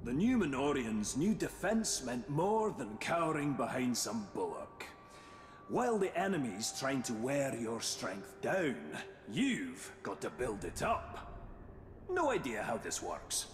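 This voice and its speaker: An actor playing a roguish artifact expert in a video game, narrating in a wry, droll, theatrical way with grisly relish. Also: male voice, mid-range voice, sing-song, a little slow, soft background music. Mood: droll, dramatic. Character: roguish, wry male artifact expert explaining ancient weapons. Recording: echoey hall with long reverb.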